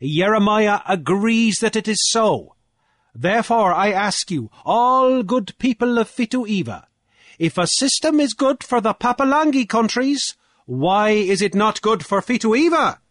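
A man's voice: high voice, expressive, a little slow, a bit bright, no room sound, studio quality, voice pushed up. A man voicing a smug, triumphant chancellor in a story, arguing before an island council in an emphatic, challenging, oratorical manner.

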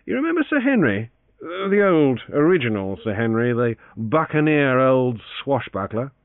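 A man's voice: medium pitch, very animated, normal speed, no echo, voice pushed up. A man voicing a wealthy, idle young heir who talks in a languid, teasing drawl. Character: young rich idle heir, lazy, bantering. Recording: thin phone-like sound, dry.

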